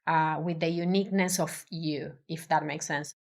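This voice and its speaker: Woman, Spanish accent, low voice, hesitant restarts, interview guest. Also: steady tone, normal speed, gravelly. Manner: hesitant, many restarts and fillers, thoughtful. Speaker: design professional, podcast guest. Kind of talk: casual interview conversation.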